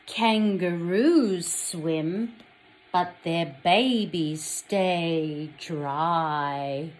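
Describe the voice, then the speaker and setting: An Australian woman reading a picture book aloud to young children, expressively, pausing to put questions to them. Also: fairly low pitch. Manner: addresses young children directly, expressive storytelling.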